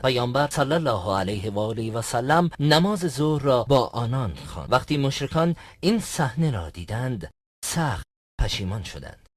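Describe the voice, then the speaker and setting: A man plays a learned religious teacher in an educational cartoon. His earnest, didactic delivery is formal yet moves widely in pitch, around a middle register, and the recording is dry. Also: dry recording. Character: learned male religious teacher, earnest, explaining to travelling companions. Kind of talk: scripted educational cartoon dialogue.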